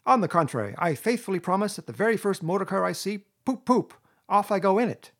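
A man acts out a flamboyant toad gentleman from a storybook. He is excitable and unrepentant, gushing his words emphatically. The voice sits high and is pushed out loudly, with a slightly husky edge. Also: fast talker, full character voice. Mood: emphatic, boastful. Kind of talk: storybook character reading.

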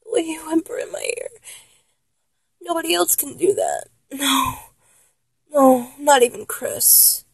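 A woman playing a needy, teasing male lover in an intimate adult audio roleplay, breathy and halting, often slipping into whispers. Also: high voice, lively intonation, dry recording, clean studio sound. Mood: pleading.

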